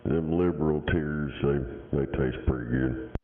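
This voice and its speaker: A man with a deep voice talking crude, combative, mocking banter over a CB radio. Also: a little slow. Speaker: CB radio talker. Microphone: radio handset. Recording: tinny CB radio transmission.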